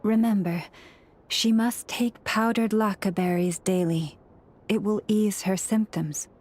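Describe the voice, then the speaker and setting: A woman playing a serene, devout young priestess and healer in a video game, speaking in a gentle, measured, formal way with quiet authority. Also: medium pitch, fairly even intonation, slight background noise, natural voice. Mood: calm, reassuring.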